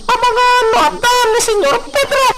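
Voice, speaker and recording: A man's voice: very high voice, expressive, normal speed, distant mic. A man with an Ilocano accent voicing a chatty, chiding hacienda worker in a radio drama.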